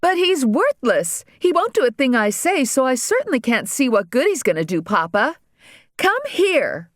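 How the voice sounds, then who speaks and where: A woman's voice: high-pitched, very animated, moderate pace, no echo, clean studio sound, voice pushed up. A woman voicing a spoiled, impatient blind young woman who screeches her orders. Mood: scornful. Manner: screeching, bossy.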